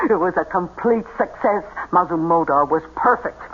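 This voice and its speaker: An actress playing a greedy, shrewd fake medium in an old radio drama, commanding, theatrical and calculating. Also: female voice, on the low side, measured pace, narrow, tinny audio. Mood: scheming. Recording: thin, faintly noisy old radio broadcast.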